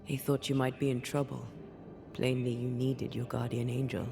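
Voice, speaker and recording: An actress playing a composed, probing ghost hunter in a video game, measured and businesslike. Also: female voice, a bass voice, flat delivery, creaky. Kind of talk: video game cutscene acting.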